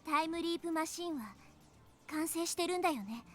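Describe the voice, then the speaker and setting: A woman's voice: very high voice, very fast. An actress playing a young woman in a tense science-fiction anime visual novel. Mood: pressing, suspicious. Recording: game audio with music underneath. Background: music.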